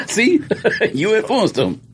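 A man co-hosting a sneaker podcast, chatting casually, laughing, teasing and firing back quick retorts. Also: high voice, huge pitch swings, slight background noise. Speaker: podcast co-host. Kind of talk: casual chat.

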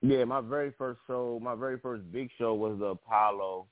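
A man's voice: mid-range voice, moderate pace, dry recording. A young man from the Bronx, a guest comedian, telling stories in a laid-back, casual way. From the Bronx, New York. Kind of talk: casual interview chat. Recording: tinny phone line, dry.

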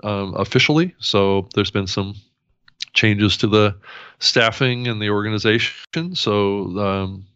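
Deep-voiced man, dull lo-fi sound. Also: a bit bright, clear, resonant tone, no echo. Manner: measured, filler-heavy explaining. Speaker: podcast co-host. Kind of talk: casual podcast chat. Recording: dull lo-fi audio.

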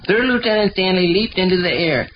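A man's voice: sits high, animated, brisk. A male actor playing an earnest, guileless young American son who loves adventure stories, in the style of 1940s radio comedy. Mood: earnest, dramatic. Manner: solemnly reading pulp fiction aloud, guileless. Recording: muffled old radio recording, faint noise.

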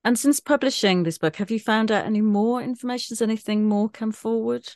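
A radio host putting measured, inquisitive questions to an author guest. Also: medium pitch, a little mellow. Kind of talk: interview questions.

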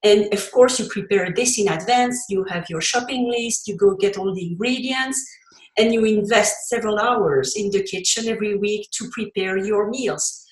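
A woman's voice: medium pitch, normal speed, echoey room, rough recording. A Belgian woman whose first language is French, speaking English with a French accent as a podcast guest, explaining at length with fillers and restarts. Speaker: podcast guest, cookbook author. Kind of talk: conversational interview.

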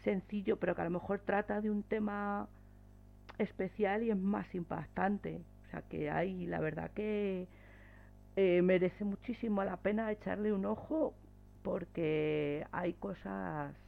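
Casting: woman, modest hesitant co-host in casual chat, creaky voice, narrow telephone-like sound. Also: medium pitch, steady tone, measured pace. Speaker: podcast co-host. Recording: thin phone-like audio.

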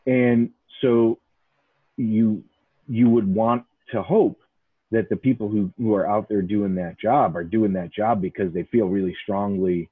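A man's voice: fairly low pitch, steady tone, a little slow, slightly rough, dry recording, phone-quality sound. A man from Chicago's north side hosting a casual podcast chat, emphatic and rambling, full of restarts and "like". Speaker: podcast host.

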